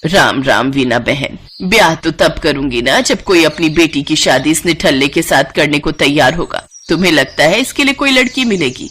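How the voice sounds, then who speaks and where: Casting: female narrator as middle-aged village mother, lowered voice, weary and admonishing, for a cartoon moral tale. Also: lively intonation, normal speed, few pauses, a bit bright, husky, exaggerated voice. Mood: exasperated. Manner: admonishing, pleading.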